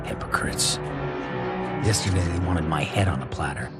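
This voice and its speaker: A man's voice: sits low, moderate pace, natural voice. An actor playing a brooding, bitter antihero who narrates his thoughts in a reflective, sardonic way for a video game. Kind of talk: scripted game cutscene narration. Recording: loud music under narration.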